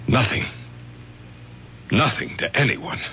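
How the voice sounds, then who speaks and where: Bright-voiced, commanding middle-aged father. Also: lo-fi sound. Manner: brisk, firm interrogating delivery. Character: authoritative college professor father, protective and commanding. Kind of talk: radio drama acting. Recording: noisy old radio drama recording.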